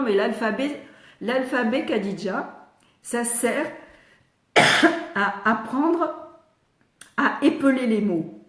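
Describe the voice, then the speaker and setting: An older woman with a slightly congested voice, talking parents through how children learn letters in an easy, chatty way. She calls viewers by name as she answers them live, and the sound bounces around a roomy space. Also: fairly high pitch. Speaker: retired speech therapist answering parents live. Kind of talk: live Q&A teaching. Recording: roomy echo.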